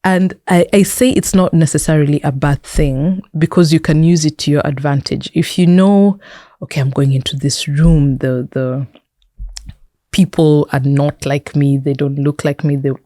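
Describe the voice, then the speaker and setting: A woman's voice: low-pitched, fairly even intonation, moderate pace, mellow, no room sound, clean studio sound. A woman with a Kenyan accent speaking as an interview guest, explaining things conversationally with examples and emphatic repetition. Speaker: interview guest, tech entrepreneur and founder.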